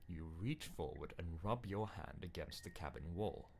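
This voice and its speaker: Controlling storybook narrator acted by a man, very low, authoritative, insistent, slightly noisy recording. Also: animated, vocal fry, a little mellow. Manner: measured, authoritative, exasperated when challenged. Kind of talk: scripted second-person game narration.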